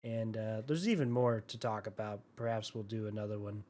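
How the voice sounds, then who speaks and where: A man's voice: lowish voice, a little fast, darkish tone. A man hosting a podcast in casual chat, with an easygoing, wry way of talking. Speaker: podcast host.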